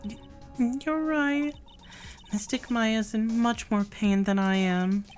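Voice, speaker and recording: A woman voicing a timid, devoted little girl who speaks in a high, trembling voice. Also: slow, a little mellow, soft background music. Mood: tearful.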